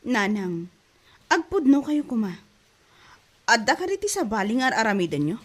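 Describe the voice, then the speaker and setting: An anxious young daughter in a radio-style drama voiced by a woman, reproachful in temper. She speaks in a fairly high voice with an Ilocano accent. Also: sing-song, normal speed. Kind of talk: Ilocano audio drama acting.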